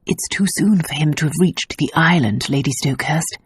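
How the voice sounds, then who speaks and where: A woman reading an audiobook voices a slight, timid male valet who speaks quietly. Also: low-pitched, normal speed, breathy edge, no echo. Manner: quiet, flustered.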